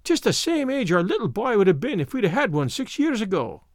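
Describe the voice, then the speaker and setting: A man doing a high, sentimental Irish wife. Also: wildly swooping pitch, normal speed, no echo, studio quality, exaggerated voice. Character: sentimental, quarrelsome middle-aged Irish immigrant wife.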